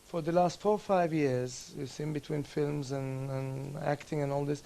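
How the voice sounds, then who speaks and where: Man, from Turkey, cheerful, energetic, casual interview, slightly high pitch. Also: moderate pace, creaky, slight background noise. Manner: cheerful, energetic, self-assured. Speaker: interviewee, actor. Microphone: camera microphone.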